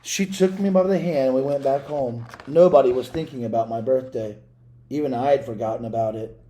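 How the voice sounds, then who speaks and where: Man, mellow soft-edged tone, mid-pitched, children's read-aloud, measured dramatic storytelling. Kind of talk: children's picture book read aloud with asides to listeners.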